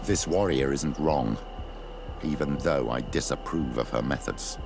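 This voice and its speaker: Very deep, gravelly old clergyman. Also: measured pace, music in the background, heavily acted. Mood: reluctant, solemn. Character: grave older male clergyman, wary and principled. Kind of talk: video game voice acting.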